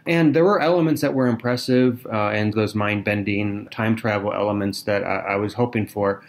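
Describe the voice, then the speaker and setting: A man's voice: fairly low pitch, fairly even intonation, moderate pace. A man co-hosting a podcast, chatting casually and thoughtfully. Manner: conversational, thoughtful, with fillers. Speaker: podcast co-host. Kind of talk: casual chat.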